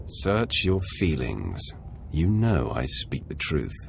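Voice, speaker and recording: An actor voicing a menacing, calm Sith warrior in a video game, commanding and deliberate. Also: male voice, slight background noise, heavily acted. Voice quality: deep, gravelly. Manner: deliberate, commanding, coolly confident.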